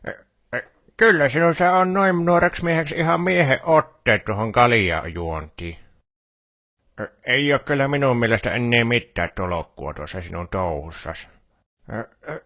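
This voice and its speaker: A man's voice: medium pitch, lively intonation, fairly bright tone, no room sound. A male actor plays a folksy game shopkeeper with a northern Finnish dialect. His speech swings widely up and down, teasing and grumbling with stammered starts. The recording is close and dry. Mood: disapproving, hesitant. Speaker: video game shopkeeper character. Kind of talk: video game character dialogue.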